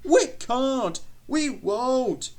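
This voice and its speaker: A man voicing cheeky, rebellious old goods trucks in a children's storybook, shrill and groaning. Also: animated, very slow, darkish tone, heavily acted. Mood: groaning protest.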